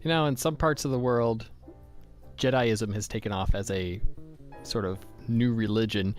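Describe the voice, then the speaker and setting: A man's voice: on the low side, moderate pace, soft background music. A man hosting a podcast in a casual interview style. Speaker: podcast host.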